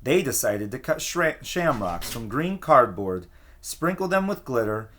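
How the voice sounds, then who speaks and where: A man reading a children's picture book aloud as a teacher, with an expressive storytelling cadence. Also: medium pitch, unhurried.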